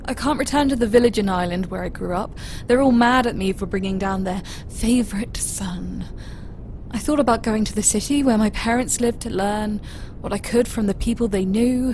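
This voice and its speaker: A woman's voice: fairly high pitch, normal speed, a little mellow, slight background noise, lightly acted. A woman playing a hurt, unsure young starship officer in an audio drama, hesitant and subdued. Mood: hurt, searching. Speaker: voice actor.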